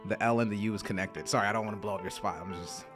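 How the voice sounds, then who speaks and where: A man emcees an open mic night in a small indoor venue, talking into a stage mic. He chats loosely, with quick apologetic asides between introductions. Music plays clearly behind him. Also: on the low side. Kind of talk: casual stage hosting.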